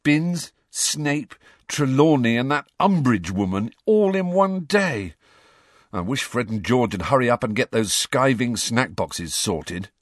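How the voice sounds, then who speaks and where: A man's voice: medium pitch, huge pitch swings, unhurried, hardly any pauses, a bit bright, dry recording, studio quality, voice pushed up, exaggerated voice. Man playing a grumpy, blunt teenage schoolboy. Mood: grumpy, groaning.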